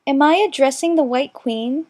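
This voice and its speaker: A man's voice: very high voice, normal speed, voice pushed up. A man voicing a polite, earnest little storybook girl, light and girlish, with a prim, sensible, well-mannered way of speaking. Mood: polite, timid.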